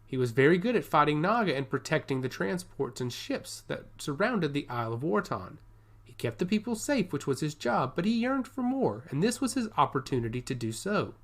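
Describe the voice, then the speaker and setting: A man's voice: on the high side, very animated, a little fast, a bit bright. A man reading a scripted fantasy story aloud as its narrator. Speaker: audiobook narrator.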